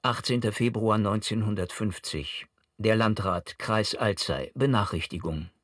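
An actor playing a formal, impersonal district official, reading out an administrative notice. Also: male voice, lowish voice, measured pace, fairly bright tone, no room sound, studio quality. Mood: formal, stern.

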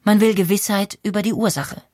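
Calm woman narrating a documentary. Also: mid-range voice, fairly quick, soft background music.

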